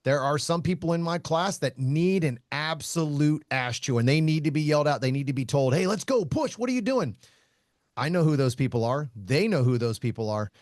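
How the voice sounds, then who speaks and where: A man who hosts a podcast and teaches shooting, talking casually in a loud voice, blunt, laughing often and swearing freely. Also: fairly high pitch, lively intonation, a little fast, no room sound, clean studio sound. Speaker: shooting instructor and podcast host.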